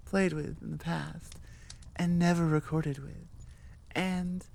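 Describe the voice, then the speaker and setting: Lady audio creator just rambling to listeners, lowish dark mellow voice, informal, thinking out loud. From born in England, moved to the US. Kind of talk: casual rambling outro chat.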